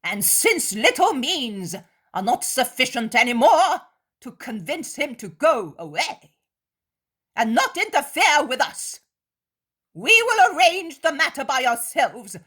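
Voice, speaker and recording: A woman voicing a furious male high priest who shouts contemptuous threats. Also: heavily acted.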